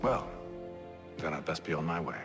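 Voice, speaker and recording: A man playing a poised, courteous English gentleman in a video game, with an English accent and suave, formal manner. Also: a bass voice, lively intonation, normal speed, music in the background. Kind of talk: video game cutscene acting.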